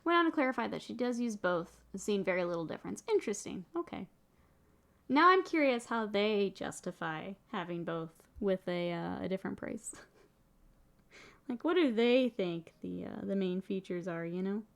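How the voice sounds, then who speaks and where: A woman's voice: mid-range voice, expressive, normal speed, creaky. A woman in her thirties with a mixed northern US and Texas accent, chatting casually on a livestream while she paints miniatures, reading chat aloud and laughing often.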